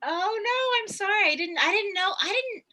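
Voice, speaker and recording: A woman's voice: extremely high pitch, expressive, normal speed, sharp tone. A woman hosting a casual online group chat over a video call, speaking in a chatty, exclamatory way. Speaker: host of an online tarot gathering.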